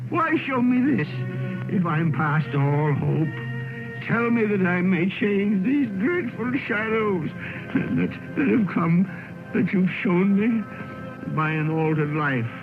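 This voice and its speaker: Old miser, quavering and frightened, lively pitch swings, slow delivery, tinny old radio sound with music. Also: male voice, full character voice. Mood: pleading. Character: old miserly man, terrified and repentant. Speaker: lead actor in a radio play. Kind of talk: 1930s radio drama acting.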